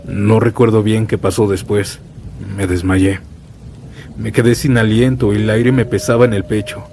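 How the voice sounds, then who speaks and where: Low-voiced man telling horror stories over rain. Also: normal speed. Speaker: horror story narrator. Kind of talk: scripted first-person horror storytelling. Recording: steady rain and thunder noise bed. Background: rainstorm with thunder.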